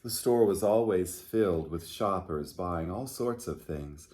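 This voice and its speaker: Slow-paced, low-voiced man at children's storytime. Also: animated, warm tone. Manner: simple, unhurried phrasing with questions to young listeners. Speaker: pastor hosting children's storytime. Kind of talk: gentle storytime talk and picture-book reading for children.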